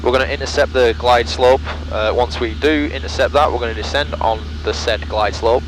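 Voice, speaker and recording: A man's voice: medium pitch, steady tone, fairly quick, noisy background. A man narrating his flight casually as he pilots a small plane, talking on a headset mic. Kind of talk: casual in-cockpit narration while flying. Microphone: aviation headset mic. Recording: loud engine drone in small plane cockpit.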